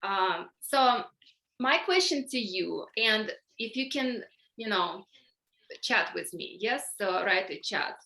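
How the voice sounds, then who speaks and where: A middle-aged woman with a Ukrainian accent, leading an online workshop in a halting way, full of fillers and restarts, on a video call. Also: mid-range voice, expressive, bright, forceful tone. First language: Russian or Ukrainian. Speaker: workshop facilitator. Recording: echoey room.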